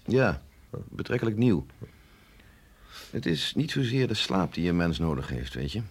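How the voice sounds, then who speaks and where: Husky, low-voiced chief medical officer. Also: male voice, big pitch swings, normal speed, exaggerated voice. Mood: earnest, confiding. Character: explanatory chief medical officer, male, earnest and learned. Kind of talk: Dutch radio drama acting.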